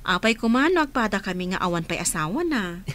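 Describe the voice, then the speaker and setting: A woman's voice: mid-range voice, very animated, a little fast, a bit bright. A playful, hesitant woman being courted in a radio play, voiced by a woman. She speaks in a mid-range voice, with a faint hiss of noise in the background. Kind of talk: radio drama acting.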